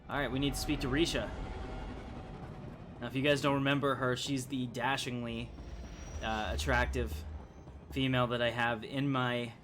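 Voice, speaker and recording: A man's voice: mid-range voice, little pitch movement, normal speed, long pauses, music in the background. A man streaming a video game with casual, joking, rambling commentary.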